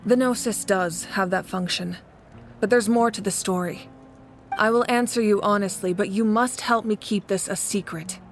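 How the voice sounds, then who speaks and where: A woman voicing a calm, resolute ruler in a game, measured and serious. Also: mid-range voice, natural voice. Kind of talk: game dialogue.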